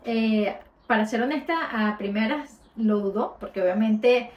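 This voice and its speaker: A woman's voice: fairly high pitch, a little slow, reverberant, dull audio, a little hiss or hum. Latin American woman telling her story. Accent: Latin American Spanish. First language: Spanish. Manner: conversational, with frequent hesitations. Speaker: podcast host. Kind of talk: personal storytelling.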